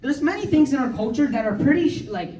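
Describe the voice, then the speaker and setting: Teen girl, amateur stand-up, slightly high, giggly and rambling; distant mic in a roomy hall. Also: lively intonation, quick pace. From United States. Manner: chatty, giggly, rambling with many likes and ums. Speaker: stand-up comedian. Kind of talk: amateur stand-up comedy set. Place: large hall with audience. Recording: echoey hall, speaker far from mic, crowd behind.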